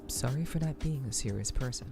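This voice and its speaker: A woman voicing a stern, possessive repair shop keeper in a game, speaking in a commanding, deliberate way. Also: very deep voice, over music. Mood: apologetic.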